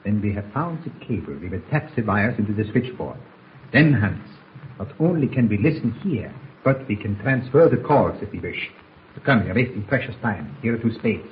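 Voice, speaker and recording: An actor playing a coldly determined enemy spy doctor in an old radio serial, speaking in a deliberate, commanding way. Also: male voice, lowish voice, sing-song. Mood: brisk, commanding. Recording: muffled, noisy vintage radio recording.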